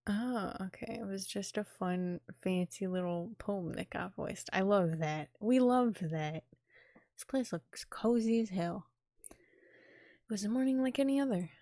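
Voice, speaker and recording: A woman game streamer with an informal, expressive manner, commenting casually and reading a visual novel's narration aloud. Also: mid-range voice, a little slow, no echo, studio quality. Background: game music.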